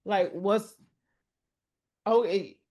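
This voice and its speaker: Lively woman in her fifties chatting. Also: mid-range voice, very slow. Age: 50s. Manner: lively, laughs often, folksy phrasing. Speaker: podcast co-host. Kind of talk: casual chat.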